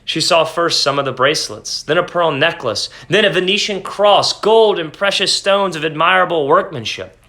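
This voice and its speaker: An expressive male storyteller reading a classic tale aloud, his voice bright and on the higher side. He slips from the text into quick, offhand asides that explain what is happening. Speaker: reader.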